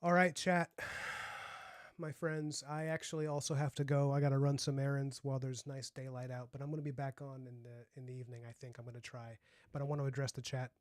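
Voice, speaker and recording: A man's voice: mid-range voice, level tone, brisk, no room sound, studio quality. A young man with a light, youthful voice, chatting casually on a livestream and rambling with lots of fillers. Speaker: streamer and comic artist.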